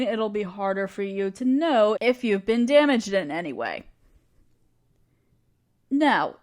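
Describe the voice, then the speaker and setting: An earnest female necromancer with a grand plan, acted by a woman for a roleplay audio. Her voice sits a little high and moves with very animated intonation. She talks straight to the listener, conversational and explanatory, with a caring, reassuring manner. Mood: attentive, clinical.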